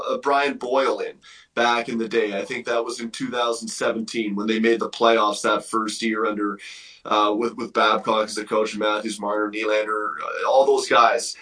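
Bright-voiced male sports analyst, slightly distant. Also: mid-range voice. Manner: opinionated, explanatory. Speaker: hockey analyst guest.

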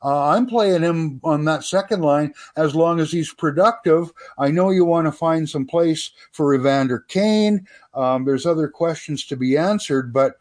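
A man's voice: on the high side, a little slow, a little mellow. A man giving his opinions as a commentator in a casual, folksy sports radio discussion. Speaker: sports writer and commentator guest.

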